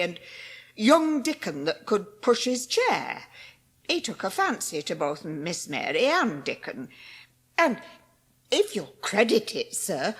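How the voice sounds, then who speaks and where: A woman's voice: a little high, breathy edge, heavily acted. An actress playing a flustered, deferential English housekeeper in a dramatised audiobook, hesitant and anxious as she explains herself.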